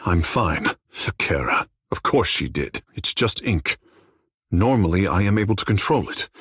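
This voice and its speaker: Young proud godlike from a fantasy game, lowish husky voice, talks all formal and poetic. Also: male voice, fairly bright tone, no echo, studio quality, heavily acted. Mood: defensive, troubled. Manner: formal, lyrical, theatrical phrasing. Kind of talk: video game character dialogue.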